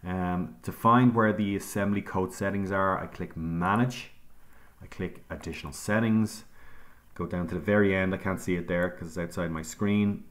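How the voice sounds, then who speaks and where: A low-pitched male instructor narrates a screen-recorded software lesson. He moves through each click calmly, pausing on ums as he explains. It sounds like a desk mic in a quiet room. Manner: calm step-by-step explaining with frequent ums.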